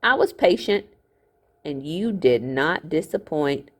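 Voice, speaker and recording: A woman's voice: deep voice, lively intonation, heavily acted. A woman acts out a fat, greedy older miller from a storybook. He gloats with false gentility and takes his time over each phrase. The villainy is smug and oily. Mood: gloating. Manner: drawn-out, falsely genteel gloating.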